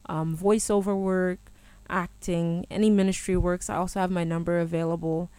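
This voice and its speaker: A woman's voice: fairly low pitch, flat delivery, moderate pace, warm tone, clean tone, no echo. A woman, a gospel singer born in Germany, answering interview questions politely and deferentially. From born in Germany, Ghanaian-American. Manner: deferential, repeats "yes, sir" and "by God's grace".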